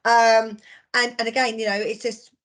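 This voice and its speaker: A woman's voice: on the high side, flat delivery, normal speed, a bit bright. A woman in her fifties talking on a roundtable panel, often stumbling over and restarting her words. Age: 50s. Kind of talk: casual panel discussion.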